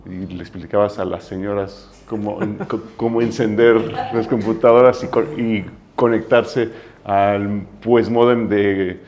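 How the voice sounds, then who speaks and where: A Mexican man in his forties with a Mexican accent, a guest telling his story in a relaxed, self-deprecating way. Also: lowish voice, measured pace, dull audio. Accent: Mexican Spanish. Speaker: entrepreneur guest. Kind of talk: casual interview storytelling.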